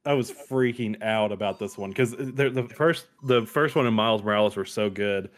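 A man hosting a casual gaming podcast, keeping the talk moving in a relaxed way. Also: lowish voice, normal speed.

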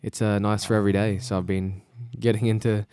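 Laid-back Australian man, smooth voice. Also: fairly low pitch, level tone, quick pace, warm tone. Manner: laid-back, rambling, laughs often. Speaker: podcast co-host. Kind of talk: casual chat. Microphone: podcast microphone.

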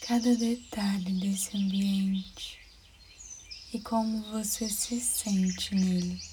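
A Brazilian woman leads a guided meditation at a very unhurried pace. Her delivery is soft and soothing, with phrases trailing into breath. Birdsong and noticeable ambient noise sit behind her voice. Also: medium pitch, fairly even intonation. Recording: clear background noise with birdsong. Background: birds chirping, outdoor-like ambience.

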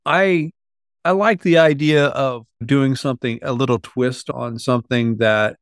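Male podcast host, mid-pitched, thinking aloud in casual talk, very dry recording. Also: steady tone, unhurried, clean tone, no room sound. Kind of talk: casual conversation.

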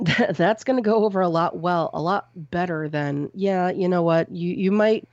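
A woman with a gravelly, slightly low voice talks through a news item in a relaxed, rambling way, dotted with "um" and "you know". She is recorded close and dry on a boom-arm mic at home. The sound is a little dull and lo-fi. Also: normal speed, dry recording. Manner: reads out a news story, then riffs on it loosely with "um" and "you know". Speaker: podcast co-host reading news. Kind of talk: casual news chat. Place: at home with a cat nearby. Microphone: microphone on a boom arm.

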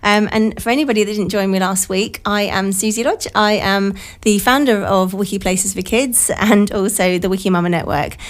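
British woman, middle-aged, mid-pitched, croaky and a little nasal with a cold, casual rambling radio chat, self-deprecating. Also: steady tone, moderate pace, few pauses. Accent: British English. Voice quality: croaky, slightly nasal from a blocked nose. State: has a cold. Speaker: radio show host. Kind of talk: casual chatty radio monologue. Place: radio studio.

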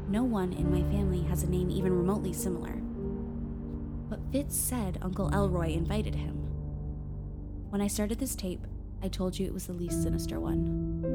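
A woman's voice: on the low side, lightly acted. A woman playing an uneasy adult daughter who narrates a family mystery in a radio play, confiding and ominous. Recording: loud music under the voice.

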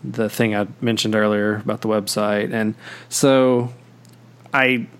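A man's voice: on the low side, flat delivery, measured pace, clear, resonant tone. Rambling male podcast host. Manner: rambling, many fillers and restarts. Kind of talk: casual chat.

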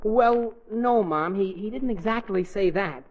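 A man's voice: high voice, a little slow. An actor playing an eager, polite, good-natured teenage boy in a folksy 1940s radio comedy, speaking earnestly. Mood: protesting. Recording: noisy, muffled 1940s radio broadcast.

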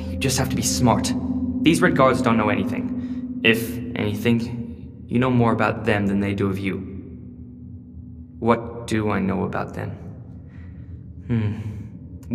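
A man playing an anxious, conflicted teenage boy in an audio drama, speaking in a halting, self-questioning way. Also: mid-range voice, steady tone. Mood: puzzled, resolving. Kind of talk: audio drama acting with inner monologue. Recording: long reverb, clear music behind.

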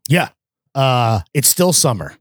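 A man's voice: medium pitch, big pitch swings, slow, no room sound, studio quality. A man co-hosting a podcast, laid-back and slangy, laughing often. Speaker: podcast co-host. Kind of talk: casual podcast banter.

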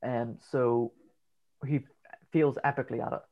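A man's voice: medium pitch, fairly even intonation, measured pace, highs cut off. A man with a Northern Irish accent co-hosting a podcast, chatting casually about a film with lots of ums and the odd swear. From Northern Ireland. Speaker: podcast co-host.

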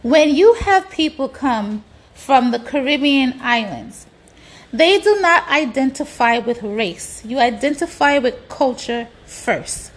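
A woman's voice: high-pitched, animated, slow, low-quality recording. A woman delivering an opinionated, exasperated monologue in colloquial speech, with rhetorical questions and frequent swearing.

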